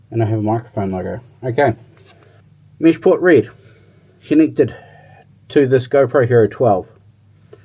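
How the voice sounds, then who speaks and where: A man's voice: medium pitch, dark tone, husky. A man talking informally and thinking aloud as he gives a casual hands-on demo and review of gear. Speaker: motorcycle tech reviewer.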